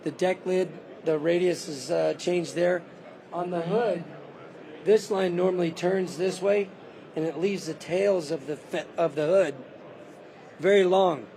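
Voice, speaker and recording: A car builder who is losing his voice, calmly giving a detailed walkaround of a custom car on a show floor. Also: high voice, unhurried, slight background noise. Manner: detailed, matter-of-fact.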